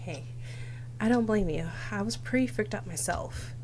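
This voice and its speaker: A woman's voice: mid-range voice, normal speed, a little mellow, slight background noise. A young woman streamer reading visual novel text aloud dramatically, with casual asides. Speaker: game streamer.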